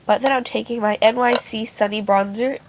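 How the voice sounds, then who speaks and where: A woman with a raspy voice giving a chatty, rambling makeup tutorial, often stopping to correct herself, recorded on a phone. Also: on the high side, steady tone, a little fast, a little hiss or hum, poor audio. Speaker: beauty vlogger. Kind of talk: casual makeup tutorial narration. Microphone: phone camera.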